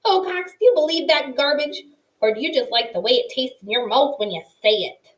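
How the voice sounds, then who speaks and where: A woman voicing a gruff, blunt old male porcupine who scoffs and teases in slangy talk. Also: sits high, expressive, fairly quick, voice pushed up, rough recording. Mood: mocking.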